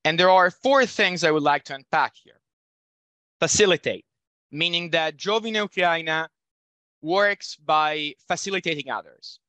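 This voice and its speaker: A man's voice: fairly high pitch, bright, forceful tone, no echo, rough recording. A young man with an Italian accent giving a student conference presentation over a video call, talking with a headset and hesitating with fillers. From Italy. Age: young adult. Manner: apologetic, halting with fillers. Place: indoor room at home.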